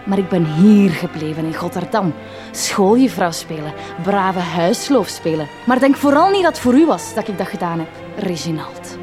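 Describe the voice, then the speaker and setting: An actress playing a bitter, long-suffering village schoolteacher wife with a Flemish accent, sarcastic and resentful in a radio drama. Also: female voice, fairly low pitch, lively intonation, moderate pace, music in the background, close to an everyday voice. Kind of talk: theatrical radio drama acting.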